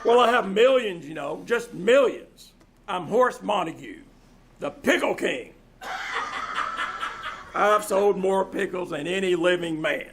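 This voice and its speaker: Man playing high-voiced lonesome boastful millionaire, noisy stage. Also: animated, measured pace, pauses often. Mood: lonesome boasting. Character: lonesome boastful pickle millionaire, rambling and self-amused. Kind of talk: old-time radio comedy acting. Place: small-town theater stage, live performance. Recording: noisy live theater stage recording.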